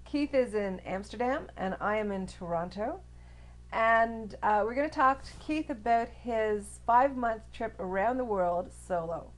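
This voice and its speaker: A woman's voice: a little high, measured pace. A woman hosting an interview over a video call, friendly and upbeat, with easy laughter. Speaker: interview host.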